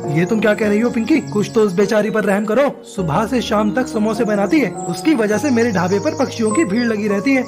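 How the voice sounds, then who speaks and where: A man voicing a kindly, soft-hearted male cartoon bird, earnest and mild in his way of talking. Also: high voice, brisk, few pauses, over music, close to an everyday voice. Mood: uneasy. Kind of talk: Hindi cartoon moral-story dialogue.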